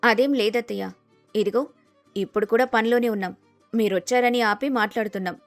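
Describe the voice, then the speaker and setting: A woman playing a sensible, respectful young daughter-in-law who talks calmly and reassuringly in a dramatized story. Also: a little high, fairly quick, no echo, soft background music, lightly acted. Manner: polite, calm, reassuring.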